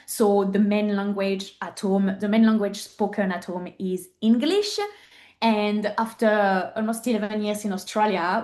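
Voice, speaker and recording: A woman from France speaks English with a French accent, her voice sitting at an ordinary middle pitch. She talks earnestly and openly as a podcast guest, often stopping on fillers while she searches for words. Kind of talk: candid interview conversation.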